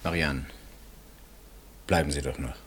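An actor playing an outspoken, probing brother-in-law in a German radio play, speaking assertively and expressively. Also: male voice, rumbling low, fairly even intonation, unhurried, fairly bright tone, a little hiss or hum. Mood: subdued, uneasy. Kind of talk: German radio play acting, naturalistic dialogue.

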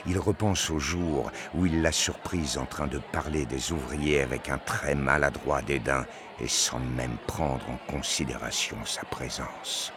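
A man's voice: very deep voice, fairly even intonation, a little slow, hardly any pauses, over music. A man narrating a scripted science fiction audio drama in the manner of a storyteller.

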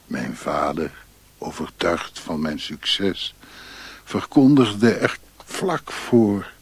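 A man playing a weary failed Chinese scholar who recounts his life in a radio drama monologue, measured and husky. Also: deep voice, big pitch swings, no room sound, heavily acted. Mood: resigned, sombre.

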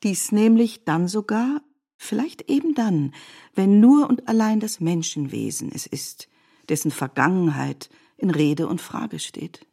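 A woman narrating a literary radio play in measured, deliberate phrases, as a reflective storyteller. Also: mid-range voice, expressive, vocal fry, mellow.

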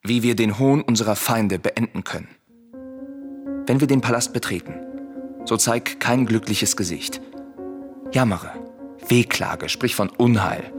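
Casting: actor for secretive exiled prince and protective brother, low, grave, solemn delivery for radio tragedy, music underneath. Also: male voice, unhurried, pauses often, lightly acted. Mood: urgent caution. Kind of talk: solemn radio drama acting of verse tragedy.

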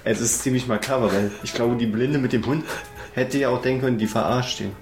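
A man's voice: medium pitch, fairly even intonation, a little fast. A man co-hosting a podcast, chatting casually with short, conversational interjections. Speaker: podcast co-host. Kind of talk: casual chat. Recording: roomy sound, faint music.

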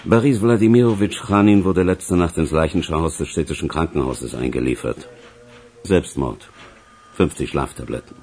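Radio drama detective: low, dark-toned voice, brisk factual delivery, unsentimental. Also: male voice, slightly rough, exaggerated voice. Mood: matter-of-fact. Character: matter-of-fact male police investigator closing a case. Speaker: actor in a radio crime drama. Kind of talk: German radio play acting.

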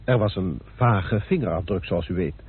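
An actor playing a confident, politely deferential police inspector in an old Dutch radio drama. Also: male voice, deep voice, moderate pace, slightly rough. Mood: assured, businesslike. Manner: assured, formally polite. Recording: muffled tinny old radio recording.

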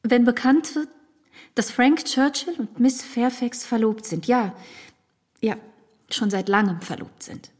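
Distressed gentlewoman, breathy husky voice. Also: a little high, a little slow. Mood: agitated, incredulous. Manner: hesitant, grave, emotional. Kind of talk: period radio drama acting.

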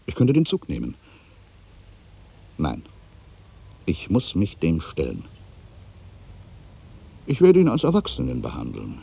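Man acting a brooding, deep, gravelly ageing writer. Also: dry recording, exaggerated voice. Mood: anxious, resolving. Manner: brooding, theatrical, from hushed muttering to agitated outbursts. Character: bitter, anxious ageing male writer thinking aloud. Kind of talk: dramatic first-person monologue, radio play.

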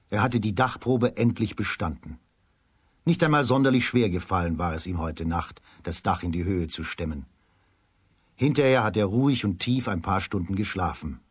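A man narrating a children's radio drama as a scripted story, in a measured, literary storytelling manner. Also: lowish voice, husky, no room sound, clean studio sound.

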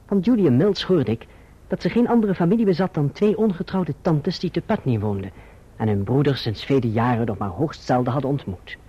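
An actor playing an earnest young gentleman who tells his own story in formal, measured language in a radio drama. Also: a little high, slightly rough. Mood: reflective, wistful. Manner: formal, measured literary diction. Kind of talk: literary radio drama narration and dialogue. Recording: muffled, dull old radio drama recording.

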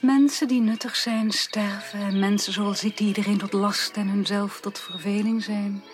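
A woman's voice: mid-range voice, steady tone, moderate pace, lightly acted. An actress playing a melancholy, broken young upper-class woman in a radio drama, formal and resigned, often subdued almost to a whisper. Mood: sorrowful, resigned. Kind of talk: 1980s Dutch radio drama acting.

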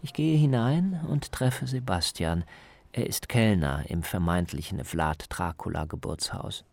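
A man's voice: sits low, animated, measured pace, gravelly, darkish tone, no room sound. A man reading scripted narration as the reporter of a radio documentary.

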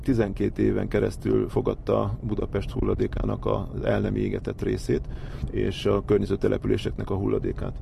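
A man's voice: on the low side, completely flat delivery, creaky, background noise. A man giving a technical explanation in an interview as a site expert, recorded outdoors on location. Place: outdoors on a landfill hill.

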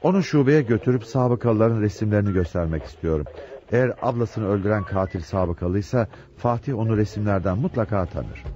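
An actor playing an authoritative police chief inspector with a measured, commanding manner in a radio drama. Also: male voice, on the low side, animated, mellow, lightly acted. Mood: patient. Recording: muffled radio drama, crowd behind.

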